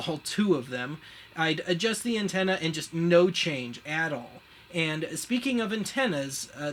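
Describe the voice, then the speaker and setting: A man's voice: fairly high pitch, a bit bright. An American man casually explaining as a show host, with a wry, self-deprecating, slangy way of talking. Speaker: host of a retro media web show. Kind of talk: casual explainer, chatting through a gadget demo. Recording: clear background noise.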